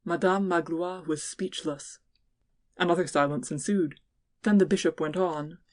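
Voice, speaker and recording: A woman's voice: lowish voice, fairly even intonation, measured pace. A woman giving scripted narration of classic fiction as an audiobook narrator.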